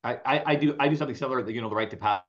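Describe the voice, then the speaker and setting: Male podcast host, mid pitch, teasing and casual, muffled lo-fi sound. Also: fairly bright tone. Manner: joking, quick to tease. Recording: dull lo-fi audio.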